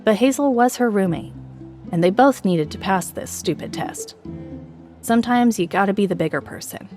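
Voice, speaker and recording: A woman's voice: mid-range voice, animated, normal speed, darkish tone. A woman narrator reading a scripted, dramatic story with music behind her.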